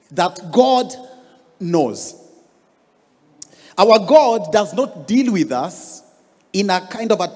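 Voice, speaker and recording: Kenyan male pastor, 30s, fairly high voice, storytelling sermon, hall echo, handheld mic. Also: darkish tone. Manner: storytelling, rising emphasis on key words. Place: large church hall stage. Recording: long hall reverb.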